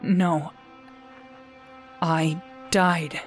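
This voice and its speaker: Low, slow actress as devout warrior sister, grave and resolute, music behind. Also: female voice, little pitch movement. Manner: grave, solemn, intense. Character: wounded devout warrior sister, resolute martyr facing death. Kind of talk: dramatic first-person narration in audio drama.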